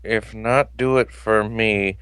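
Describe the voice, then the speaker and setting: Male reader doing a smug stiff cartoon cat, booming from off-mic, slow and low. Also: steady tone, fairly bright tone, smooth, dry recording. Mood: solemn.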